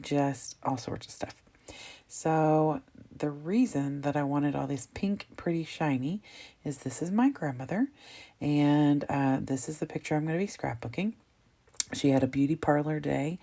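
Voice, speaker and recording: Low, mellow-voiced woman chatting through crafts. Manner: chatty, rambling, with frequent ums and self-corrections. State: slightly hoarse, froggy throat from allergies. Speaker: craft video host. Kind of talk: casual narration of a scrapbooking process.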